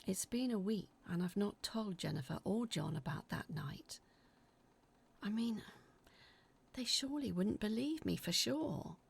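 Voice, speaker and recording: A woman acting as an anxious narrator, telling a scripted first-person horror story in a confiding, uneasy, dramatic way with a husky, creaky voice. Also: medium pitch, animated, a little slow, exaggerated voice. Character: anxious woman reading out her frightened letters about sinister events.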